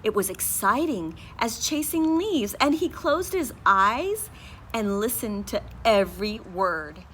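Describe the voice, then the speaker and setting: A woman's voice: mid-range voice, expressive, takes it slowly, a bit bright, a little hiss or hum, poor audio. A woman teacher reading a picture book aloud to her class, sounding out and spelling words letter by letter.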